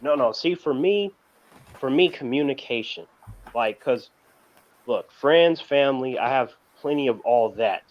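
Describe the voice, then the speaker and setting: A man's voice: medium pitch, expressive, a little slow. Man in casual discussion, dull lo-fi audio. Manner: emphatic, repeats words as he reasons. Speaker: panelist on a TV review show.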